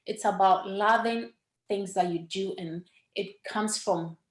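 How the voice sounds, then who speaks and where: A woman's voice: medium pitch, takes it slowly, reverberant. Ghanaian woman sharing her story earnestly. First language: Ewe. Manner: earnest, warm storytelling with small restarts. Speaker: podcast guest, entrepreneur. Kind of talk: casual interview talk.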